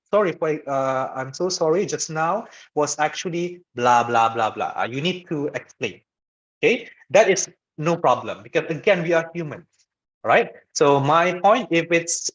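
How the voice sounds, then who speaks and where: A man from Malaysia with a Malaysian English accent, a guest speaker answering questions in a webinar and often checking in with "okay" and "right". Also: on the high side. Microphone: video call. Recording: muffled lo-fi video call audio.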